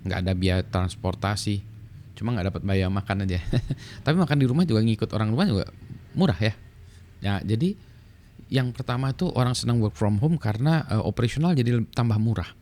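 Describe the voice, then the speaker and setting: A middle-aged man with an Indonesian accent, talking casually and explaining at an easy pace, with frequent fillers. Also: on the low side. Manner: relaxed, many fillers and tag "ya". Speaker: vlogger sharing work experience.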